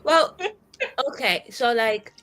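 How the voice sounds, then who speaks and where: A woman chatting in character as a player in a tabletop roleplaying game, animated, exclamatory and prone to swearing. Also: on the high side, slow, faint music behind. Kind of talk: improvised casual roleplay chat.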